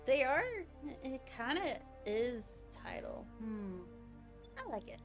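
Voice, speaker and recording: A woman's voice: a little high, big pitch swings, slow, faint music behind. A woman livestreaming a game, chatting casually and thinking aloud as she reacts to what is on screen. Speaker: game streamer.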